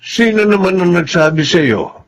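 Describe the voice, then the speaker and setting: A man's voice: high-pitched, expressive, moderate pace. A man with a Filipino accent playing a stern, resentful middle-aged father in a radio drama. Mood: brusque.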